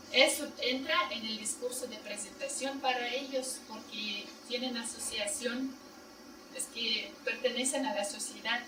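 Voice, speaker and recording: A woman lecturing non-native, in an echoey room. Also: on the high side. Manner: explains in plain steps, checks listeners with "¿no?" and "¿sí?"; Spanish as a second language. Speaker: seminar lecturer. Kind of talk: university seminar lecture. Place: seminar room. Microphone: distant room mic. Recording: echoey room, far mic, loud noise.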